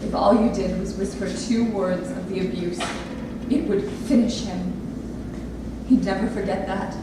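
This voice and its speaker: A woman's voice: mid-range voice, steady tone, normal speed, lightly acted. An actress playing an earnest, intense grown daughter of an abusive father in a staged play reading. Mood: pleading, quiet. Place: theatre lab room with an audience area. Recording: very echoey room, distant mic, noisy.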